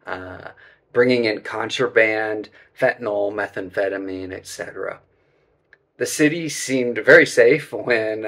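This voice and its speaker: A man in his forties narrating a travel vlog about a hike, relaxed and explanatory, with frequent filler words. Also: fairly low pitch. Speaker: travel vlogger. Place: indoor room, then voice-over on hike footage.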